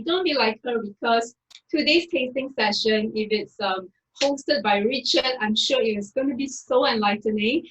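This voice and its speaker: A woman's voice: fairly high pitch, dull audio. A woman hosting an online wine tasting and introducing it in a chatty way, with many fillers. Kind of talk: friendly event introduction.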